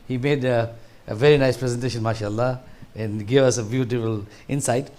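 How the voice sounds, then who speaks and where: A man's voice: mid-range voice, fairly even intonation, a little fast, darkish tone. A man in his sixties from Tanzania, giving a conversational talk to a seniors group in a large hall, rambling and restarting his sentences. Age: 60s. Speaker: guest speaker.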